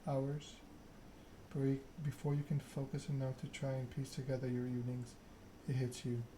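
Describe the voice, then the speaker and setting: A man narrating a story game aloud in ASMR style, reading in a measured way with occasional stumbles. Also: medium pitch, completely flat delivery, mellow, a little hiss or hum.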